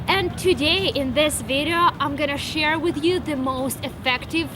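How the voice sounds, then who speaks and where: A woman's voice: high voice, normal speed, background noise. A young woman with a Ukrainian accent and Ukrainian as her first language, talking eagerly and emphatically to camera in a vlog, with some hesitations. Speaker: vlogger. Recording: background music under voice.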